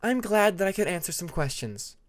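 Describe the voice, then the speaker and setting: Narrator doing an earnest young female dragon, pitched up. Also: expressive, a little fast, lightly acted. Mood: gentle.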